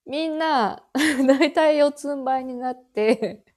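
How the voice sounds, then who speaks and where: A woman in her thirties with a Kansai Japanese accent, co-hosting a casual nostalgic podcast chat and telling stories with lively animation. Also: high voice, slow pace. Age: 30s. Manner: animated storyteller, laughs while talking. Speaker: podcast co-host.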